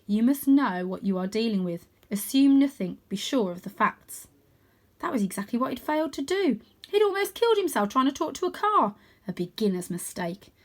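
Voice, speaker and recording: Expressive, animated woman reading a story. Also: medium pitch. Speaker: storybook reader. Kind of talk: expressive children's story narration.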